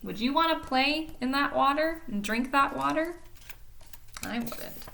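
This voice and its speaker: A woman reading a storybook aloud to children in an expressive storyteller's style, with simple explanatory asides. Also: sits high, measured pace, gravelly.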